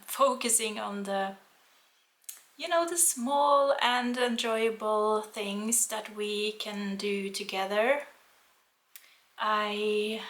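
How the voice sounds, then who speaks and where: A Norwegian woman speaking English as a second language, talking gently and unhurriedly in a casual knitting vlog. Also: medium pitch, echoey room. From Norway. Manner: soft-spoken, gentle, unhurried with fillers. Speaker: knitting vlogger. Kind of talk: casual chat to camera.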